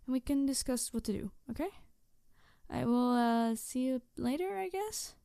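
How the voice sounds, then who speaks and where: Woman acting a snarky high-voiced female supervillain. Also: lively intonation, gravelly, dark tone, no echo, clean studio sound. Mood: shy, hopeful. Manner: halting, sighing, emotionally candid, sardonic. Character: snarky female supervillain, passionate, flustered, secretly tender nemesis. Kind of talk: ASMR audio roleplay monologue.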